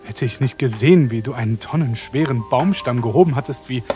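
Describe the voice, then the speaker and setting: A man's voice: medium pitch, brisk, warm tone, lightly acted. A man playing a stammering, squeamish bar patron, with music behind him. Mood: uneasy. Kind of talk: amateur horror audio drama.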